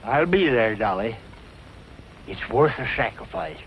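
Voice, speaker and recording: An actor playing a cranky but soft-hearted old cowboy sidekick in a vintage western film, with a folksy rural Western accent. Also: male voice, medium pitch, sing-song, measured pace. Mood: pleased, folksy. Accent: rural American West. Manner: folksy, blustering. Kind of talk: 1940s western film acting. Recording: dull vintage film soundtrack, faint noise.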